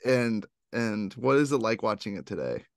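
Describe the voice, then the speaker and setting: A man's voice: fairly low pitch, very animated, normal speed, gravelly, dry recording, clean studio sound. A young man hosting a podcast in a casual, joking, informal conversational style. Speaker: podcast host. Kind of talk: casual chat.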